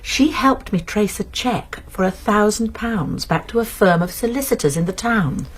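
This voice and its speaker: Radio drama: British female sleuth, earnest and precise, lively intonation, soft husk. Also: medium pitch, normal speed, breathy edge. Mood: earnest, explaining. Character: shrewd woman amateur sleuth, methodical and earnest.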